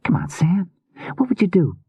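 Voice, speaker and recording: A man playing an eager, high-voiced young woman. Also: sing-song, normal speed, vocal fry, husky, no room sound, lo-fi sound, heavily acted. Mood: curious, pressing. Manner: slangy, eager, casual. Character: inquisitive, quirky young woman, amateur sleuth. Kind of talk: audiobook character dialogue.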